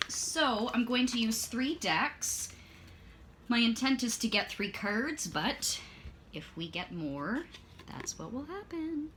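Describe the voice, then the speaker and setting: A woman's voice: fairly high pitch, animated, takes it slowly, fairly bright tone, a little hiss or hum. A woman reading tarot and oracle cards in a casual, meandering way, filling her talk with ums and restarts, her voice strained and fading as if she is losing it. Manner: chatty, meandering, with many fillers and self-corrections. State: losing her voice, clearing her throat. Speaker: tarot and oracle card reader. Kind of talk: casual spoken card reading.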